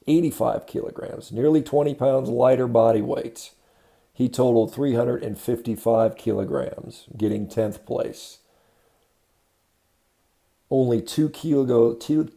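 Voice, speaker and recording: A man giving unscripted critical commentary as a host, matter-of-fact and halting, often correcting himself. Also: medium pitch, gravelly, warm tone. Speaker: host of a diet commentary video.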